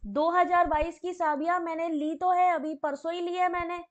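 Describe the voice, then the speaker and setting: A woman with a high voice, teaching a live online exam class while hoarse from a sore throat. She reads quiz questions and answers, then turns to chat messages and calls viewers by name in a friendly, talkative way. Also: fairly even intonation, moderate pace, dull audio. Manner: chatty, addresses viewers by name.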